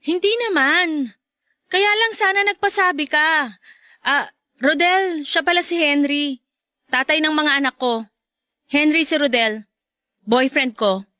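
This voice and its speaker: A woman's voice: high-pitched, measured pace, pauses often, bright, forceful tone, no room sound, clean studio sound. An actress playing a single mother in love, playful and teasing, in a radio drama. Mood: uneasy, guarded. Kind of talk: Tagalog radio drama dialogue.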